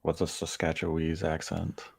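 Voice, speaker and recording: A soft-spoken man co-hosting a podcast, reading viewer comments aloud in casual chat. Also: extremely low pitch, monotone, normal speed, vocal fry, husky, no echo. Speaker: podcast co-host.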